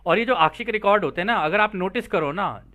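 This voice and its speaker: A man's voice: sits high, expressive, fast, bright, forceful tone. A man hosting an explainer, reading out a translated text and breaking off to explain it casually, often checking in with listeners. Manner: explanatory, addresses listeners directly with frequent check-in tags. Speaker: host and explainer.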